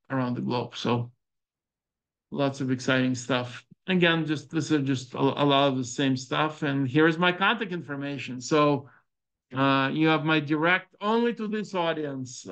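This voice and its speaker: A middle-aged man with a Ukrainian accent giving a startup presentation, halting and full of fillers and restarts. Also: medium pitch, fairly bright tone. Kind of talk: conference presentation with audience questions.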